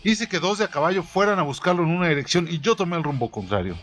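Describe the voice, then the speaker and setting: A man reading a first-person story aloud in a steady storytelling manner, his voice falling low at the ends of phrases. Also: quick pace. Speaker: narrator. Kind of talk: scripted audiobook narration.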